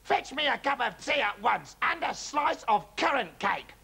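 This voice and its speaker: A man's voice: extremely high pitch, sing-song, a little slow, vocal fry, slightly rough, voice pushed up, full character voice. A man voicing a bossy, bad-tempered ancient grandmother who shrieks her orders. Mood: shrill bossy. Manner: screeching, shouted insults.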